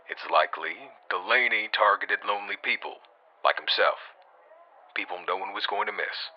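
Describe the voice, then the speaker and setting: Actor as a low-voiced male police detective, muffled. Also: normal speed, close to an everyday voice. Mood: matter-of-fact, grim. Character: measured, matter-of-fact male police detective. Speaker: police detective being interviewed. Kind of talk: audio drama interview.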